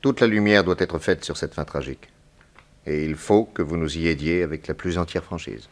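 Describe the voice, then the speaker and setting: An actor playing a calm, authoritative male detective in a 1960s French radio drama, deliberate and courteous. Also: low voice. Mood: grave, probing.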